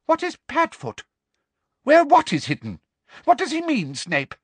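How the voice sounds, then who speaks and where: A man voicing a furious middle-aged witch headmistress in a high, sweetly girlish voice that rises to shrieks. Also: wildly swooping pitch, a little slow, no room sound, exaggerated voice. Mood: shrill fury.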